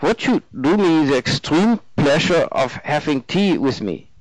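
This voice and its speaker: Man playing an eager, high-pitched young German man. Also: animated, measured pace, no echo, rough recording. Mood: shy, polite delight. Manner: effusive, formally polite, slightly stilted English. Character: nervous, eager, earnest young German man, tall and gawky. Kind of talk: audiobook character dialogue.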